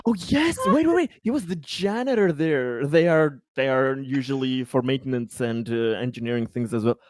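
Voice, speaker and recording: A man playing a tabletop roleplaying game, chatting in a casual, jokey, excitable way and repeating himself. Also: mid-range voice, moderate pace, no room sound, clean studio sound.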